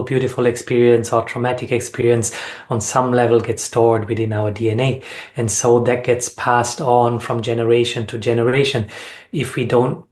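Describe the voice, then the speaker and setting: A man from Austria speaking as an interview guest, reflecting on personal experience in an earnest, measured way with deliberate phrasing. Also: mid-range voice. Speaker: sound healing facilitator and interview guest.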